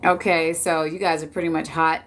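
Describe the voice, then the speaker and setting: A woman's voice: low-pitched, level tone, normal speed, fairly bright tone, slight background noise. A woman in her late forties from Washington D.C., chatting casually and jokingly with viewers on a live stream. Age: 40s. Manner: chatty, laid-back, joking.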